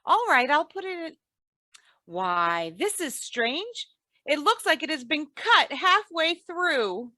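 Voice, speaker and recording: Woman playing bright, animated, wry radio wife. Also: sits high, takes it slowly, dry recording, full character voice. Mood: puzzled. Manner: dry, teasing comebacks with homely endearments. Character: shrewd, long-suffering, affectionate radio-comedy wife with dry wit. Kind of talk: 1940s radio comedy acting.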